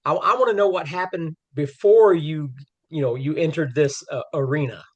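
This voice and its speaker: Male host, fairly high voice, friendly, halting with fillers. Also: dull audio. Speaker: interview host. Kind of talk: casual interview over a video call. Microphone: video call.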